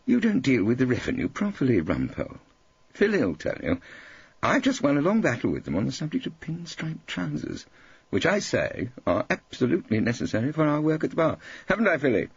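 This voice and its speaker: A man's voice: medium pitch, wildly swooping pitch, fairly quick, creaky, husky, dry recording, highs cut off, pitched above the performer's own voice, heavily acted. A man voicing a fussy, self-important male tax barrister who is prim, earnest and disapproving. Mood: self-satisfied.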